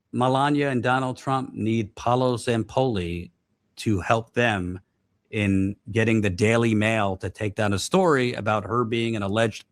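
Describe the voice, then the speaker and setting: Man giving deliberate news commentary. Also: mid-range voice, no room sound. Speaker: host, commentator. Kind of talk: news commentary to camera, reading out quotes.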